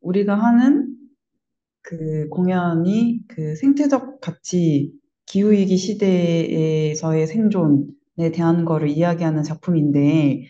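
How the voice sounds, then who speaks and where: A woman with a lowish, very mellow voice speaks reflectively in an interview. She explains earnestly and in a measured way, on a slightly dull recording. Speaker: creative team member being interviewed.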